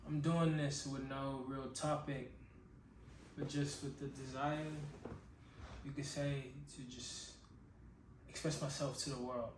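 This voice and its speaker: A man's voice: mid-range voice, level tone, slow pace. Rambling young man, roomy and distant. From Nigeria. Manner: rambling and reflective, restarts and corrects himself. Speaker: vlogger. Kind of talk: unscripted personal monologue. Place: his own secluded room. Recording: roomy echo, distant mic, background noise.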